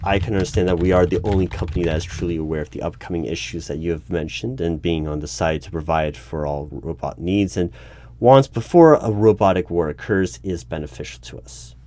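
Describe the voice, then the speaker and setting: A man playing an exasperated, bureaucratic secret agency hiring manager in a one-sided interview roleplay, halting and argumentative as he rephrases himself. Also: sits low, mellow, close to an everyday voice. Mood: conciliatory, officious. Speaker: solo roleplay performer. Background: computer keyboard typing.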